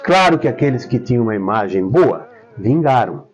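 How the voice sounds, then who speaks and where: A man's voice: mid-range voice, big pitch swings, normal speed. An older man with a Brazilian accent telling stories as an interview guest, explaining things and repeating words for emphasis. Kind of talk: conversational storytelling interview. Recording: dull lo-fi sound, faint music.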